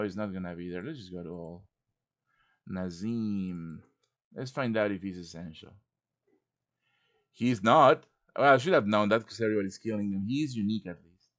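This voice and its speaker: A man's voice: medium pitch, a bit bright. Man casually teaching a live tutorial. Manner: chatty, explains step by step with check-in tags. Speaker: host and instructor of a livestream tutorial. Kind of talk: informal live software tutorial.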